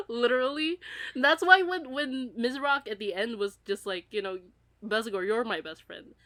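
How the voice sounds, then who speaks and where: A woman chatting casually as a podcast co-host, rambling and giggly in an animated way. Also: fairly high pitch, normal speed, no echo, clean studio sound. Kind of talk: casual chat about an audio drama.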